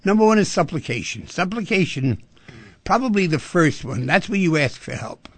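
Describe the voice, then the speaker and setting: Male talk radio host, rough gravelly voice, breathy, animated, rhetorical questions. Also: sits high, normal speed, dry recording.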